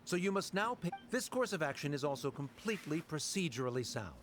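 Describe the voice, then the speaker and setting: Pompous scholar-official, sweeping delivery. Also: male voice, fairly high pitch, sing-song, brisk, over music. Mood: accusing, stern. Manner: pompous, formal. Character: pompous, rigid male scholar-official, condescending and legalistic. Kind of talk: anime-style video game voice acting.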